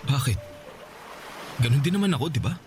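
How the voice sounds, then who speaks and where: A man playing a mildly hurt but forgiving former boyfriend in a Tagalog radio drama, talking in a calm, reflective, conversational way. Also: medium pitch, brisk, natural voice. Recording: music bed behind the voice.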